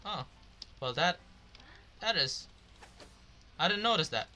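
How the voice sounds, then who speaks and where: A man's voice: fairly high pitch, animated, slow pace, bright, forceful tone, slight background noise. A man giving casual gameplay commentary, muttering hesitantly to himself. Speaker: gamer.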